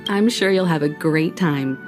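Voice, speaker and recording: A woman's voice: low-pitched, a little slow. A woman voicing a gracious, composed, kindly mentor figure in video game dialogue. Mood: kindly, encouraging. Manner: gracious, encouraging. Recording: faint game music behind the voice.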